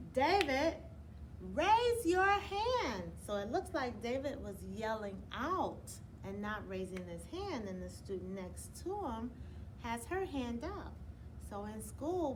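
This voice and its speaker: A woman teacher reading a picture book aloud to children, explaining gently and often checking in with "right?". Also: medium pitch. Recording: clear background noise.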